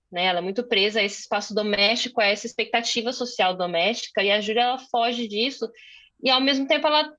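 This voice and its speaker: A woman's voice: on the high side, a bit bright. A young woman from Brazil moderating a conversation on a video call, thinking aloud with many fillers and restarts. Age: young adult.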